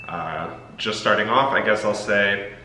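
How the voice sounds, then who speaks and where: A man's voice: on the low side, measured pace. A young American man chatting casually as he co-hosts a movie review. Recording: echoey room with faint noise.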